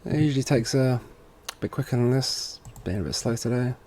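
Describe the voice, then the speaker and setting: A man giving an informal software tutorial, thinking aloud as he works at a computer. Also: mid-range voice, fairly quick, a little hiss or hum. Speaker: tutorial presenter for a security products seller. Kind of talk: casual software walkthrough narration.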